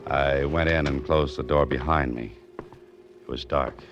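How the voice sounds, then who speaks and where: Casting: low-voiced American radio detective hero, male, dry and wisecracking, muffled vintage sound. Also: fairly even intonation, measured pace. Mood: tense, wry narration. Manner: dry, wisecracking, clipped tough-guy delivery. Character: wry, hard-boiled adventurer hero narrating his own mystery. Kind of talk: 1940s radio mystery acting and first-person narration. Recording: muffled old radio recording with music.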